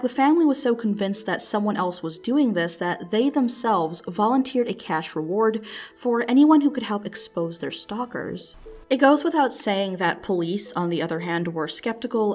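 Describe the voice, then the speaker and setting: A woman narrating a scripted true-mystery video as its host, in a measured storytelling manner with dramatic emphasis, close on the mic. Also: mid-range voice, darkish tone.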